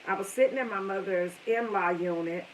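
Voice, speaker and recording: Woman, 50s, mid pitch, casual rambling storyteller, faint hiss on the recording. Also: fairly quick, low-quality recording. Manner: chatty, wanders off topic, talks to viewers and family. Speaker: live streamer telling a story.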